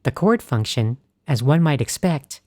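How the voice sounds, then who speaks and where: Warm woman narrating a synth tutorial. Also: rumbling low, lively intonation, measured pace, no echo, clean studio sound. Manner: clear, explanatory tone. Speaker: host.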